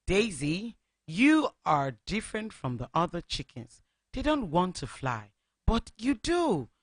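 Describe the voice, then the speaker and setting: A radio storyteller voicing Mama, a gentle mother hen who soothes and encourages her chick. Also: mid-range voice, big pitch swings, measured pace, no echo, clean studio sound. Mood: encouraging.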